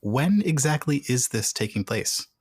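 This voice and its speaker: A man's voice: mid-range voice, animated, measured pace, breathy edge, dry recording. A man playing a tabletop game, asking questions in a dry, wry way. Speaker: player.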